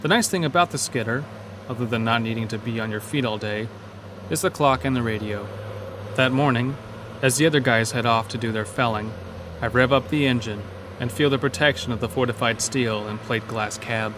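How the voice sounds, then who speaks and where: A man's voice: mid-range voice, a little fast, noisy background. A man narrating a scripted first-person horror story as an uneasy logging worker.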